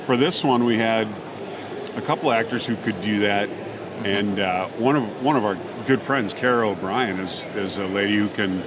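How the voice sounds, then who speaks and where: A man's voice: on the low side, moderate pace. A man talking casually as a podcast guest who makes audio dramas. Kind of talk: casual interview conversation. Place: live on site at a convention. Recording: noisy live recording with background hum.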